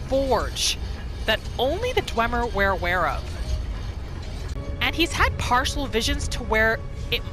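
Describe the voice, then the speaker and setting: A woman hosting a gaming roleplay series, telling the story in short phrases with dramatic pauses. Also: fairly high pitch. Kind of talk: storytelling gameplay narration.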